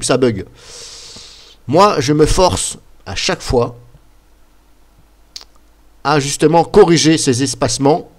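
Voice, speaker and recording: A man with a bit of a cold talks casually over his screen, explaining as he goes. He rambles, restarts and fills gaps with "bah" and "voilà" while pointing at things. His voice sits at an ordinary middle pitch. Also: a little mellow. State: has a slight cold. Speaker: YouTuber.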